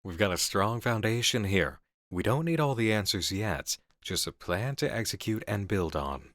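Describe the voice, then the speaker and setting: An intense, seductive horror game developer, played by a man, speaks in a deep voice with close, dry sound. He is assured and deliberate, musing philosophically as if confiding in the listener in an intimate audio roleplay. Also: animated, a bit bright, dry recording. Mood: encouraging, decisive. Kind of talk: ASMR roleplay voice acting.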